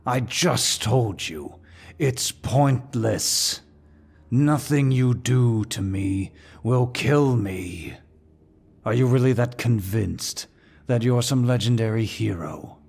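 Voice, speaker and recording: A man playing a menacing demon lord in an ASMR fantasy roleplay, with a deep, gravelly voice and a dominant, smug, taunting manner. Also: a little mellow, exaggerated voice. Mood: irritated, scornful. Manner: dominant, smug, slow and deliberate.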